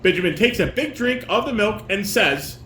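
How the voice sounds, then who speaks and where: Man, slightly high voice, instructive dad directing a table read, informal coaching, roomy echo, faint noise. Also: expressive, moderate pace, bright, forceful tone. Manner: instructive, corrective. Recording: echoey room with clear background noise.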